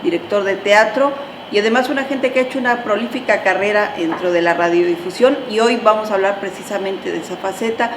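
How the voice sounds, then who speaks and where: A woman's voice: mid-range voice, fairly quick. A woman with a Mexican accent hosting an interview indoors in a bookstore. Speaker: interviewer, host. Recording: roomy echo, clear background room noise.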